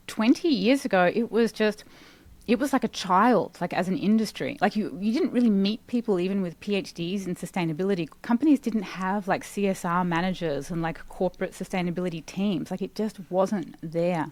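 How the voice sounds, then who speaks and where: An Australian woman in her forties talks at length as a podcast guest, eager and chatty, and she leans on "like" as she goes. Her voice sits on the low side, and the recording is dry with almost no room sound. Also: no room sound. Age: 40s. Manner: animated, talkative, with frequent "like" fillers. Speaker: guest, sustainability expert. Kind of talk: casual podcast interview.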